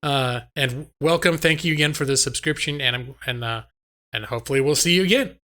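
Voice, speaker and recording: A slightly hoarse man streaming a game live, chatting casually and ramblingly to his viewers. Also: fairly high pitch, brisk, bright, forceful tone. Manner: rambling, conversational, addresses chat directly. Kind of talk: casual chat with viewers while gaming. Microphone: close microphone on a boom arm.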